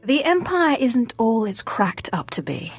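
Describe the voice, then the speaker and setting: A woman's voice: fairly high pitch, big pitch swings, measured pace, husky, no room sound, soft background music, exaggerated voice. A woman playing a self-assured, sardonic Sith apprentice in a video game, speaking in a cool, clipped way. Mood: cool, wry. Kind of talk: scripted video game dialogue.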